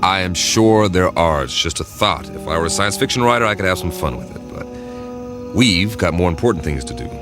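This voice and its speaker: An American man playing a measured, thoughtful spaceship captain with quiet authority in a radio drama. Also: low-pitched, animated, music in the background. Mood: reflective. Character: steady, thoughtful spaceship captain leading his crew.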